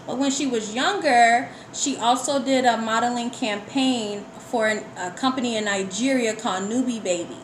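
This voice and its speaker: A woman from the United States speaks as an interview guest in a fairly high voice. Her delivery is candid and conversational, like casual personal storytelling. The recording is roomy and echoey, with some light noise behind her. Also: moderate pace, few pauses. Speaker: guest poet. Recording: echoey room with background noise.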